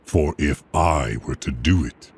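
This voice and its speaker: A man voicing a towering alien warlord, stern and merciless, speaking with measured, solemn threat. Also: very deep voice, gravelly, a little hiss or hum, heavily acted. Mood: calm threat.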